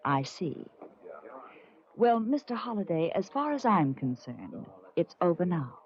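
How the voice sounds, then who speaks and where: A woman's voice: on the low side, sing-song, unhurried. An American actress playing a cool, guarded nightclub singer in 1940s radio drama, poised and clipped as she questions. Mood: coolly suspicious. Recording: dull old radio recording, faint music.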